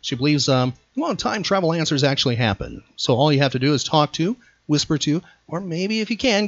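A man's voice: mid-range voice, dry recording. A man hosting a casual trivia show in an upbeat, playful way. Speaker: radio show host. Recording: muffled lo-fi audio.

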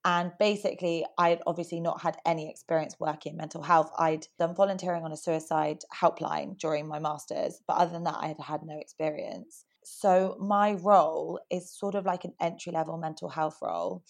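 Young woman, croaky today, low voice, chatty and earnest, lots of "like". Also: steady tone, normal speed. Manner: chatty, earnest, fillers like "like" and "sort of". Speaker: podcast guest. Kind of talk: casual interview chat.

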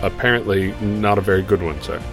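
Audio drama pilot, male actor: deferential, hesitant, somewhat low voice over music. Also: quick pace, lightly acted. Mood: awkward, wry.

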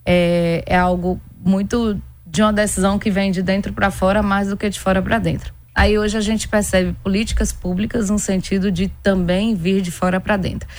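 A woman's voice: medium pitch, little pitch movement, moderate pace, hardly any pauses. Northeastern Brazilian woman, explanatory interview guest. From Pernambuco, Brazil. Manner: explanatory, uses everyday examples. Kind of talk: conversational expert interview.

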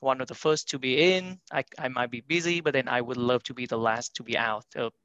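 A man's voice: medium pitch, fairly even intonation, a little fast, fairly bright tone, dry recording. Man from Vietnam, dull dry audio. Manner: frequent fillers, non-native grammar. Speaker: company founder and CEO. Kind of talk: podcast interview.

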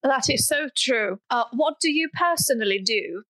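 A woman whose first language is Russian, a language teacher hosting a podcast who introduces the episode and asks questions in a clear, deliberate way. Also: sits high, animated, pushed and bright, no echo.

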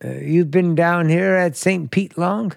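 An actor playing a folksy, forward hotelkeeper making flirtatious small talk in a radio play. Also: male voice, high-pitched, measured pace, gravelly, no room sound, studio quality. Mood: chatty, probing. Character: folksy, forward middle-aged hotelkeeper, a flirtatious male stranger.

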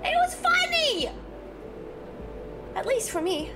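A woman's voice: very animated, slow pace, music in the background. A woman playing a tabletop game, teasing and defensive in character. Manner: drawling, mocking, swoops between high and very low. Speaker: player. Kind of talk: in-character roleplay.

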